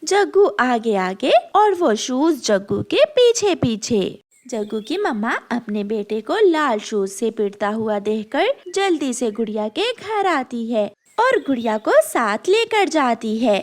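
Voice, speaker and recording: A woman's voice: fairly high pitch, big pitch swings, a little slow, few pauses. A woman narrating a scripted children's story as the narrator.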